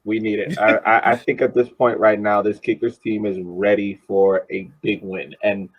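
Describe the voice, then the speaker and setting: A man's voice: fairly low pitch, little pitch movement, moderate pace, husky. A man co-hosting a sports podcast in casual discussion, emphatic and repeating phrases for stress, on slightly dull audio. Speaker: podcast co-host, soccer coach.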